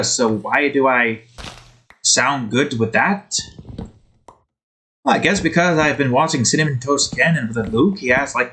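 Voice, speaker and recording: A man's voice: medium pitch, bright, forceful tone. A man hosting a game video with casual, rambling commentary in a put-on Scottish accent that wavers. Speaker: gaming video host. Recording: faint background noise.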